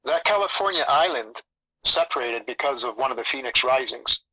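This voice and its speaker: A man's voice: medium pitch, lively intonation, normal speed, pushed and bright, no room sound, low-quality recording. A man who grew up in Canada with Italian as his first language, rambling through a casual spiritual chat and repeating phrases. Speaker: spiritual channel host.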